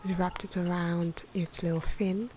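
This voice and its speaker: Soft, low-pitched whispery woman, even tone. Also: measured pace. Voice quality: soft, breathy, often dropping into whisper. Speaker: ASMR video creator narrating own drawing. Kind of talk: soft-spoken ASMR drawing commentary. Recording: noisy, pencil scratching and ambient sound. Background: pencil and pen noises, outdoor wind.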